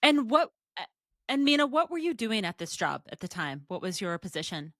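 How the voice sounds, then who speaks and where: A woman's voice: mid-range voice, very animated, a little fast, a bit bright, no echo, studio quality. A woman hosting a podcast, asking questions in a conversational interview style. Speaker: podcast host.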